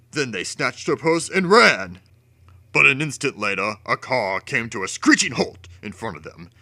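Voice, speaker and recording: A man's voice: medium pitch, pushed and bright, dry recording. A man giving a theatrical, over-emphatic dramatic reading of a children's storybook. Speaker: storybook reader.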